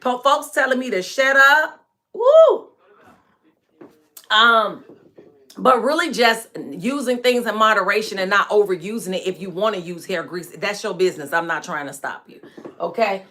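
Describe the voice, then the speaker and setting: A woman in her forties hosting a live hair care stream, chatting frankly with viewers and laughing often, her voice a bit hoarse and dry. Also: medium pitch, lively intonation, moderate pace, sharp tone. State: hoarse and dry voice from staying up late. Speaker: natural hair care host and product maker. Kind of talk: live-stream chat answering viewer comments.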